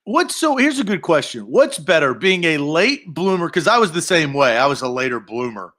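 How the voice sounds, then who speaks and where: A man in his thirties with a high, bright, forceful voice. He co-hosts a sports talk show and jokes through the banter, animated and quick to poke fun at himself. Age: 30s. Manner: animated, playful, self-deprecating. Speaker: sports radio co-host.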